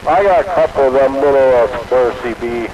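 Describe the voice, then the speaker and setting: A muffled CB radio signal with clear noise carries a man of medium pitch. He chats in a casual, everyday way over the two-way set. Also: brisk. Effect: two-way CB radio transmission. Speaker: CB radio operator.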